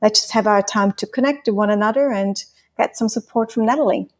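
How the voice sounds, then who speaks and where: A woman from Switzerland, whose first language is Swiss German, talks through her work as a relationship coach in a friendly, flowing way. Her voice sits at a mid pitch, and she laughs readily. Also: fairly quick. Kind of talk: podcast interview answers.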